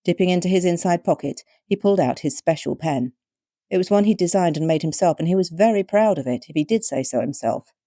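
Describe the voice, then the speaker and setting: A British woman narrating her own short story with measured, wryly expressive storytelling. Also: fairly low pitch, no room sound, clean studio sound. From Britain.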